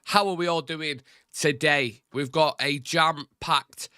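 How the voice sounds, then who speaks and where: A young man talks through a football live stream in a loose, rambling way. His nose is blocked and his voice comes out nasal, with a bright, forward tone. The recording is dry and close, with no room sound. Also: fairly high pitch, takes it slowly, smooth, no echo. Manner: rambling, chatty, informal. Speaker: football channel host. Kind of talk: casual live-stream chat.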